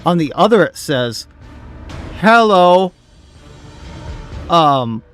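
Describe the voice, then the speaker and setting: A man streaming a game, chatting casually, laughing often and reading chat aloud, his voice going hoarse. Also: on the high side, very animated, very slow, fairly bright tone, soft background music. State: losing his voice. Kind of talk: casual gameplay commentary.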